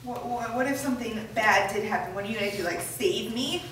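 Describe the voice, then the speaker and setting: A woman's voice: on the high side, fairly quick, lightly acted. A woman playing a withdrawn, defensive teenage girl in a staged script reading. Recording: very echoey room, distant mic, noisy.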